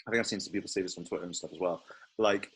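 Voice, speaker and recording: Male podcast host, slightly low and husky, rapid, informal, speculative chat. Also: steady tone, fairly bright tone. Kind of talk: casual podcast chat about a TV series.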